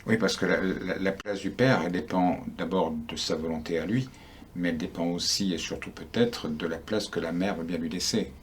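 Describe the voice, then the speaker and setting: A man's voice: sits low, normal speed, a little hiss or hum. A man interviewing a guest for a news outlet, chatty and often restarting his sentences.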